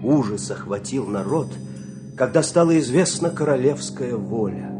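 A man narrating a fairy-tale audio play in an expressive, scripted storytelling style. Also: medium pitch, moderate pace, music in the background.

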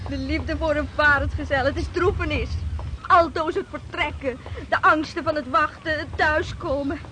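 A woman's voice: sits high, steady tone, moderate pace, slight background noise, rough recording. A woman playing a melancholy sailor's wife whose eyes are failing. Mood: wistful. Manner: melancholy, gentle. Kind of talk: radio drama acting.

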